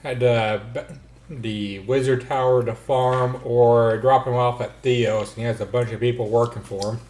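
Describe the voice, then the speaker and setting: A man's voice: medium pitch, fairly even intonation, a little mellow. Joking man at a game table. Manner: joking, quick-witted. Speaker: player. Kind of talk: casual tabletop game chat. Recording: echoey room.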